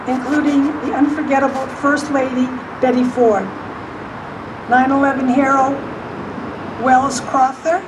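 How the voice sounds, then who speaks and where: A woman introducing a speaker at an outdoor march, reading from notes with small slips. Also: high-pitched. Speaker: event organizer introducing speakers. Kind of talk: event introduction. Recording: distant mic with loud outdoor noise.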